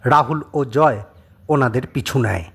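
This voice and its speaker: A man's voice: mid-range voice, measured pace. A man narrating a scripted suspense story as a dramatic audio storyteller. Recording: clearly noisy background.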